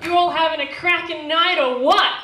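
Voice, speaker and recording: A young woman with a strong Australian accent and a nasal twang delivers sweary stand-up comedy in a fairly high voice. She is heard from a distance in an echoey club with noise behind her. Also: fairly even intonation, moderate pace.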